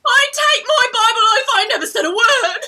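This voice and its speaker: A poor young flower girl for a stage play reading. Her voice is high and piercingly bright, swinging widely as she wheedles and wails. She talks in cheeky, wounded, ungrammatical street speech. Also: expressive, normal speed, exaggerated voice. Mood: wailing, protesting. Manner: whining, wailing, ungrammatical street talk.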